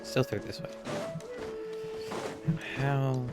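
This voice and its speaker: A man's voice: medium pitch, fairly even intonation, extremely slow. A man live streaming a game, reading dialogue aloud and adding casual commentary. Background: game music.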